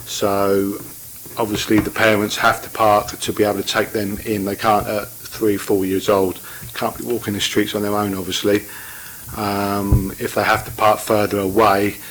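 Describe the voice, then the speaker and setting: A man's voice: lowish voice, low-quality recording. A man speaking from the floor at a council meeting, plain-spoken and hesitant, with many fillers. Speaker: member of the public addressing a council meeting. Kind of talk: public comment.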